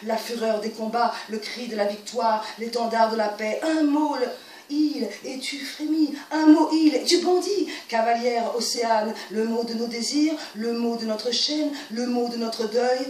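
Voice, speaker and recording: A woman's voice: on the high side, a little slow, darkish tone. A woman reciting lyric poetry in a solemn, emphatic, incantatory style of dramatic declamation. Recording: roomy, distant mic, faint noise.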